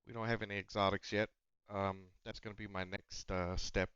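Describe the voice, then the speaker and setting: A man's voice: fairly low pitch, little pitch movement, fairly quick, fairly bright tone, clean tone, dry recording, muffled recording. A man giving casual gameplay commentary on a headset mic, slightly nasal, rambling with fillers and restarts. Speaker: gaming channel host. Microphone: noise-cancelling headset.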